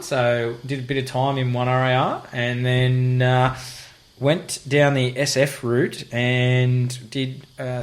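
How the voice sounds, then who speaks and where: A man hosting a podcast interview in a guest's house, talking in a halting, matey way and often saying "mate". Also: mid-range voice. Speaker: podcast host. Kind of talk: casual interview.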